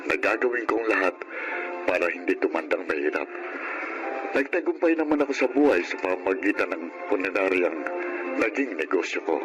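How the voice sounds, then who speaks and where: An actor playing a reflective self-made businessman telling his life story in a subdued, deliberate radio drama monologue. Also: sits low, sing-song, loud music behind the voice, poor audio.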